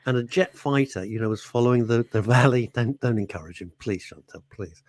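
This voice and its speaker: A man's voice: medium pitch, fairly quick, dry recording. A man co-hosting a radio talk show, telling rambling stories with laughs and false starts in casual studio chat. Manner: lively, rambling storyteller with laughs and restarts.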